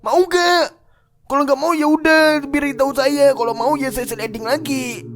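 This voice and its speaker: A man's voice: extremely high pitch, fairly even intonation, moderate pace, background noise. A man voicing a cocky, comically vain older ghost fighter in an amateur cartoon, bossy and teasing with lots of hesitant interjections. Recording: music bed behind voice. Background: music.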